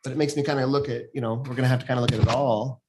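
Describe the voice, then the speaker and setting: Male board member, rapid and informal, working through questions aloud at a public meeting. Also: mid-range voice, fairly even intonation, noisy background. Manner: informal, thinking aloud, peppered with "like". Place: school board meeting room.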